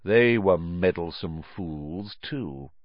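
A man's voice: low voice, expressive, slow, dry recording, lo-fi sound, voice pushed down. A man voicing a haughty, menacing grown wizard aristocrat in a storybook, imperious and cold. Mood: quietly menacing.

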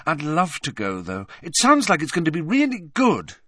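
A man's voice: fairly high pitch, wildly swooping pitch, moderate pace, pushed and bright, dry recording, studio quality, voice pushed up, exaggerated voice. A man voicing a chatty, gossipy teenage schoolgirl witch with an eager, wide-eyed manner for a storybook. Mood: eager, curious.

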